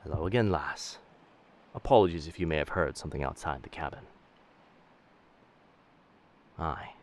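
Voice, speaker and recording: A man's voice: a bass voice, a little fast, a little mellow. A man playing a roguish, commanding pirate captain in a roleplay audio drama, speaking in old seafaring diction. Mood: cool, commanding. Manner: old seafaring diction, addresses others as lass and sir.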